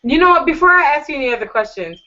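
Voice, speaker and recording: A woman's voice: high-pitched, lively intonation, very fast, pushed and bright. A woman hosting a casual online panel show, gushing and flirty as she thanks her guests. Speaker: talk show host. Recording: dull lo-fi audio.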